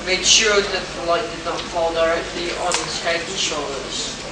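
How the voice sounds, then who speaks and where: Male narrator, scripted dramatic story delivery, off-mic with clear background noise. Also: on the high side, steady tone, moderate pace. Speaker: narrator of a radio play. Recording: echoey, distant, noisy live recording.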